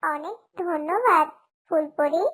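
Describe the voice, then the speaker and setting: A woman voicing a sweet, excitable little village girl in a cartoon. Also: high voice, slow pace. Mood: amazed. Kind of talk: cartoon voice acting.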